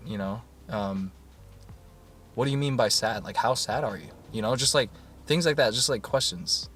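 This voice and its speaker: A man talking in a soft, soothing voice in a casual rambling monologue, full of fillers and restarts. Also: medium pitch. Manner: soft-spoken, meandering, many fillers and restarts. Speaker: YouTuber.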